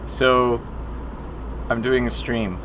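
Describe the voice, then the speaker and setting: A man's voice: mid-range voice, fairly even intonation, drawn-out words, noisy background. A young man chatting casually on his livestream from a small room at home, self-mocking and greeting viewers by name. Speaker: indie game developer streaming.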